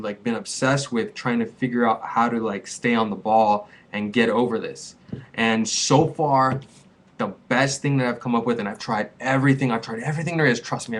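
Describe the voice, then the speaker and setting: A man's voice: medium pitch, brisk. A man in his twenties, a small business owner, talking casually and earnestly to camera with a rambling, repetitive flow. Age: 20s. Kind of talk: casual vlog advice to camera.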